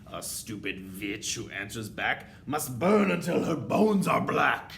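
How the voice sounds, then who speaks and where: A man's voice: medium pitch, animated, unhurried, creaky, a bit bright, a little hiss or hum, pitched above the performer's own voice. A man voicing a tyrannical, furious female leader of witches in a storybook, shrieking commands and rhetorical questions. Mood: cold fury. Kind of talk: children's storybook read-aloud.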